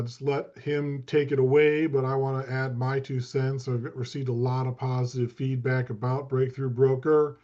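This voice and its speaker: A man hosting a webinar, introducing his guest in a steady, even way. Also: medium pitch. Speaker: webinar host. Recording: dull, lo-fi webinar audio.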